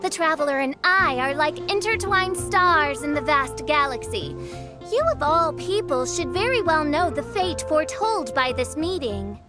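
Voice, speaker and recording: An actress playing a grandiose young princess in an anime game, declaiming in pompous, archaic phrases. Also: female voice, high voice, lively intonation, normal speed, music in the background. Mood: haughty, pleased. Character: grandiose theatrical young princess holding court.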